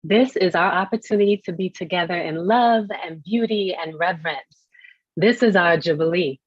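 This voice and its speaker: A woman's voice: fairly low pitch, normal speed, dry recording. A woman giving a scripted welcome as host of a reading, on a slightly dull recording.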